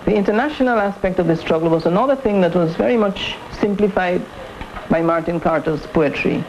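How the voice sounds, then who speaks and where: A person speaking reflectively and haltingly in a documentary interview, explaining and recalling political activism, on an old film soundtrack. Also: medium pitch, a little mellow. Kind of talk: spoken reminiscence in a documentary interview. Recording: dull 1970s film sound, faint noise.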